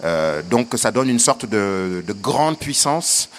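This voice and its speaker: A man from Senegal, an actor answering interview questions in an earnest, hesitant way with many pauses. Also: lowish voice, sing-song, a little hiss or hum.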